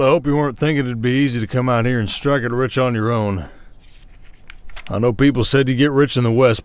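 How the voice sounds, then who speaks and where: A man's voice: mid-range voice, natural voice. A man playing a folksy, hesitant werewolf sheriff with a Southern Old West drawl in an ASMR roleplay. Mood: casual, gruff. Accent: American Southern, Old West drawl. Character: folksy werewolf sheriff of an Old West town, long-lived but young-faced. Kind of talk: roleplay audio acting, ASMR. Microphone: close studio mic, dry.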